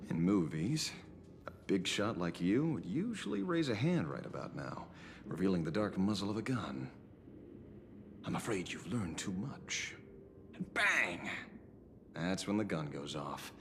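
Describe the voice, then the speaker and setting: A man's voice: on the low side, a little hiss or hum. An actor playing a wry, dogged male detective in a video game, sardonic and defiant, with theatrical, pointed phrasing. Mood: mocking, theatrical. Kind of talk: scripted video game voice acting. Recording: long reverb, music behind. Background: music.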